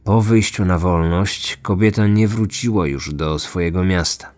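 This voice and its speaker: A man with a very low voice reads scripted true-crime narration. Faint music plays behind him. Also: measured pace, darkish tone.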